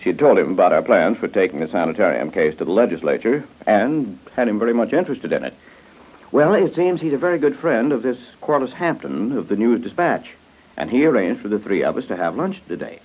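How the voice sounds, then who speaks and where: A man's voice: on the low side, animated, fairly quick, breathy edge. An American man playing an affable, self-assured married doctor in a 1930s radio soap, on a muffled old broadcast recording. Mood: earnest, explaining. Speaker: radio drama actor.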